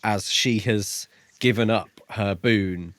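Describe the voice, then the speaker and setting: A man's voice: on the low side, takes it slowly, fairly bright tone, no room sound, clean studio sound. A man co-hosting a podcast, chatting casually and informally about a book. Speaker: podcast co-host. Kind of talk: casual book discussion chat.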